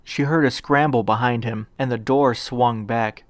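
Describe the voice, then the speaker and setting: A man narrates a piece of fan fiction from a script. His voice sits at a medium pitch. The sound is dry, with hardly any room to it. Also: fairly even intonation, normal speed, no echo.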